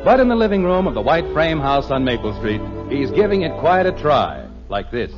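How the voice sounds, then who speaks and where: A man's voice: a little high, very animated, normal speed. A man giving scripted narration in 1950s radio style, mock-grave and wry. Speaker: show narrator. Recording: muffled vintage radio with music.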